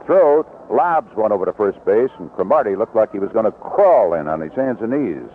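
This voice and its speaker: A man calling live baseball play-by-play on the radio in clipped, unhurried descriptive phrases. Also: on the low side, very animated. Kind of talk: live radio sports commentary. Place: outdoor ballpark broadcast position. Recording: vintage 1970s radio broadcast, lo-fi, noisy.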